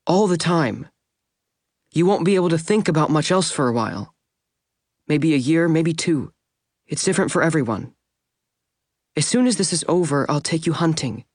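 A man voicing a tender, grieving female vampire who talks softly and pleads as she reassures someone. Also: on the high side, no echo, clean studio sound, pitched above the performer's own voice, lightly acted. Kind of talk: audiobook character voice.

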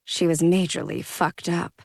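A woman voicing a frank, concerned young vampire girl speaking in a low, plain way. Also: a little slow, gravelly, darkish tone, no echo, clean studio sound.

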